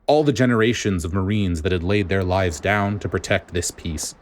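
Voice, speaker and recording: Low male voice, dramatic story narration, grave, deliberate delivery. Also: a little hiss or hum. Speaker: podfic reader. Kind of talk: scripted dramatic narration of fan fiction.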